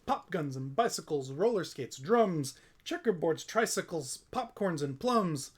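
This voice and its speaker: Slightly high-voiced man reading a storybook aloud. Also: lively intonation, a little slow, slightly rough. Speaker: storybook reader on a holiday stream. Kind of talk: storybook read-aloud, scripted narration.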